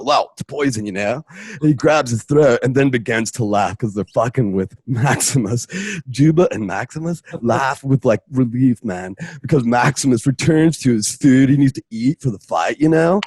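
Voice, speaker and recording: A man's voice: mid-range voice, a little mellow, slightly rough, no echo. A man narrating a story in a casual, laid-back slacker way, improvising with lots of filler words. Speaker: narrator of an online radio novela. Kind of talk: improvised casual retelling of a film script.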